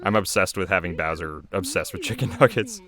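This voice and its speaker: Deep-voiced man giving casual commentary. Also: a little fast, crowd noise. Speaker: creator and voice actor giving commentary. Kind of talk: casual commentary on own animation. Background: audio of the cartoon episode.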